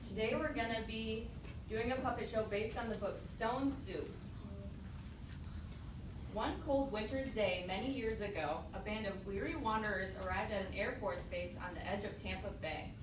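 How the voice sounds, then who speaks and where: A woman's voice: medium pitch, normal speed. Woman storyteller in an echoey room. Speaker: narrator. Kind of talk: scripted children's storytelling. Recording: distant echoey live room, clear noise.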